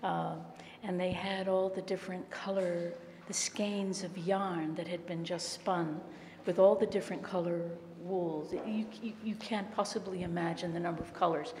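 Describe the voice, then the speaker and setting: A woman painter who grew up in Guatemala, telling a story in a warm way on a gallery panel. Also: lowish voice, fairly even intonation, normal speed, few pauses. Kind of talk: panel discussion answer. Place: art gallery room. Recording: echoey hall with faint noise.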